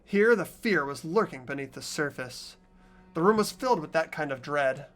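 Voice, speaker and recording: A man's voice: fairly high pitch, animated, moderate pace, fairly bright tone, soft background music. A man voicing an earnest, anxious teenage boy who narrates a mystery in an amateur game dub. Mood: uneasy. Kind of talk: amateur voice acting of a video game.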